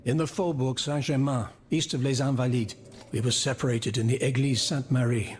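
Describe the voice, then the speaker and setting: An actor playing an anguished, proud former royal minister in a video game, formal and wordy. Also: male voice, mid-range voice, steady tone, brisk, slight background noise, lightly acted. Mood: grateful. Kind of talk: video game cutscene acting.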